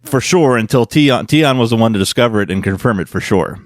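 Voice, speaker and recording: A man's voice: mid-range voice, lively intonation, brisk, dry recording, studio quality. A man hosting a fan podcast, telling a story casually in a rambling way that often corrects itself. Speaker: podcast host.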